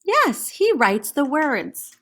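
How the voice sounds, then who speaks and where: A woman reading a storybook aloud as a teacher to children, speaking deliberately and pausing to put questions to her young listeners. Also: sits high, big pitch swings, a bit bright. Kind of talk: read-aloud storybook narration.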